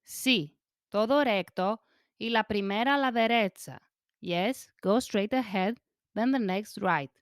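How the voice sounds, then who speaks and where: A woman's voice: medium pitch, takes it slowly, no room sound, clean studio sound. A woman teaching as a language teacher, reading each phrase in pieces, repeating it and then giving the translation. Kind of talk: slow phrase-by-phrase language drill.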